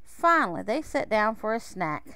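A woman reading a children's picture book aloud in a measured, expressive way, with pauses. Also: medium pitch, clear, resonant tone, dry recording. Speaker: storybook reader.

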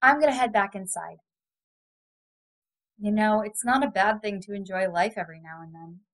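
A woman's voice: mid-range voice, moderate pace, lightly acted, low-quality recording. A woman playing a supportive, frank, fun-loving friend in an audio drama. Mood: encouraging. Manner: encouraging, frank.

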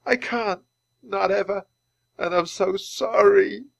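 Man voicing a high-pitched, earnest young boy wizard. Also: expressive, fairly bright tone, dry recording. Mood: tearful, remorseful. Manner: earnest, emotional, halting. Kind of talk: children's audiobook character voice.

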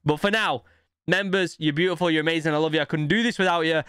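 Slightly high-voiced male reaction host. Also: steady tone, fast talker, a bit bright, smooth, no room sound, studio quality. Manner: hyped, exclamatory. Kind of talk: casual reaction commentary. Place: indoor streaming setup. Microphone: close boom-arm microphone.

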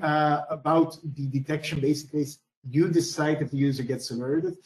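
Male presenter talking through a screen-share demo, slightly high voice, roomy echo. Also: a little mellow. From Belgium. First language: Dutch. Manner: explanatory, frequent "right?" tags and "uh" fillers. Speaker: security product presenter.

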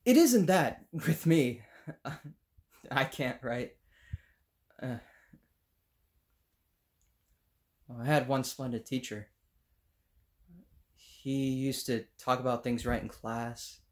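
A man playing an earnest, idealistic student in a dramatic monologue, halting and searching for words. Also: medium pitch, darkish tone, lightly acted. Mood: shy, reflective. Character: earnest, idealistic, tongue-tied student recalling his teacher.

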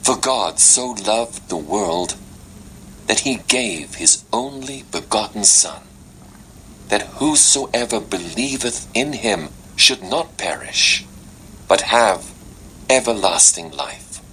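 A man giving a solemn, measured and dramatic scripture reading, played back through a small portable speaker. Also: lowish voice, animated, sharp tone. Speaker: audiobook narrator. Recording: small device speaker playback, noisy room.

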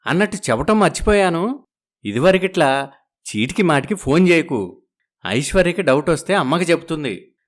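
Guy doing a young husband, soothing and evasive, bit higher voice. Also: sing-song, moderate pace, long pauses. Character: young husband torn between wives, evasive.

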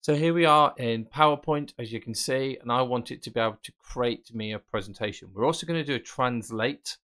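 A man presenting a screen-recorded software tutorial, explaining casually and thinking aloud with fillers. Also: mid-range voice, a little fast. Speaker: tech presenter.

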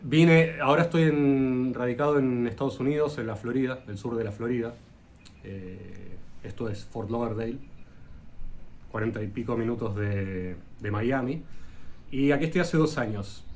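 Argentine man telling his story haltingly. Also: medium pitch, a little mellow, slight background noise. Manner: hesitant, frequent "eh" fillers, rambling anecdotes. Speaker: luthier guest. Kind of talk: casual interview storytelling.

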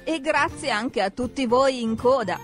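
A woman's voice: sits high, unhurried, faint music behind. A woman narrating a children's cartoon from a script, expressively, speaking straight to the characters. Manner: expressive, addresses characters directly.

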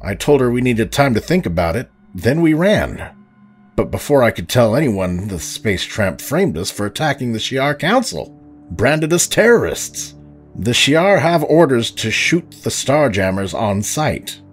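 A man playing a remorseful middle-aged space pirate, earnest and halting, in an audio drama. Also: mid-range voice, very animated, soft background music.

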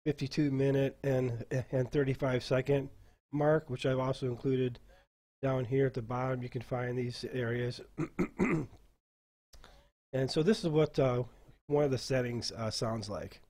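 A man walks listeners through a casual screen-share tutorial for game masters. His voice sits at an ordinary middle pitch, and he talks off the cuff. His delivery is halting and rambling, as he thinks aloud. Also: fairly even intonation, warm tone. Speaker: dungeon master teaching a software class.

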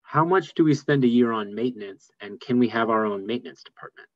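A man's voice: mid-range voice, fairly quick, no room sound. A man moderating an online meeting, reading out submitted questions over a video call. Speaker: moderator, staff. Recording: slightly dull video-call audio.

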